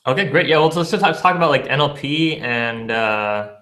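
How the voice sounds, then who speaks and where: A young man with a bright, forceful tone hosts a casual podcast interview. He talks in an easygoing, laid-back way, tossing in "man" often. His pitch sits in an ordinary middle range. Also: lively intonation, normal speed. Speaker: podcast host.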